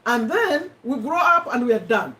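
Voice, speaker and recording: A woman with a Ugandan accent hosting a casual live stream, chatting to viewers in an animated way and repeating herself for emphasis. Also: a little high, moderate pace. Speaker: host of a live personal development discussion. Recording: voice somewhat far from the mic.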